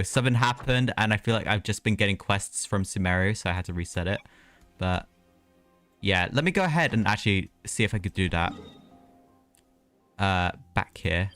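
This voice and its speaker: A man's voice: lowish voice, fairly bright tone. A man giving casual live commentary on a game, chatty and easygoing as he reacts aloud.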